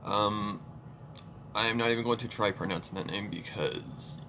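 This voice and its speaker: A man's voice: fairly low pitch, normal speed. A man giving casual live reaction commentary as an online host, with informal muttered asides. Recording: clear background noise on the mic.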